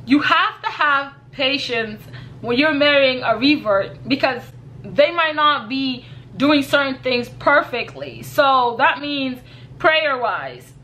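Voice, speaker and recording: Bright high-voiced young woman. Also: lively intonation, takes it slowly, slight background noise, rough recording. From Kenya. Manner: earnest, repeats herself, laughs lightly. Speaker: YouTuber. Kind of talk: casual advice vlog.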